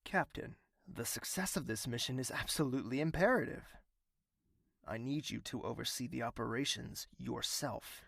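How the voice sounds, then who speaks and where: Gravelly, imperious royal ruler. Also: male voice, mid-range voice, normal speed, a bit bright, no room sound, clean studio sound. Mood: commanding. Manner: stern, clipped, authoritative. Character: cold, commanding royal ruler, unbending and imperious. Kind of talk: dramatic audio drama dialogue.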